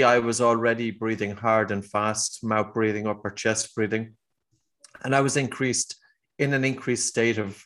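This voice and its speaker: A man's voice: fairly low pitch, a bit bright. A middle-aged man with an Irish accent, a guest on a podcast, earnestly explaining his work with a few restarts. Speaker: guest, breathing teacher. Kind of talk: conversational interview answer.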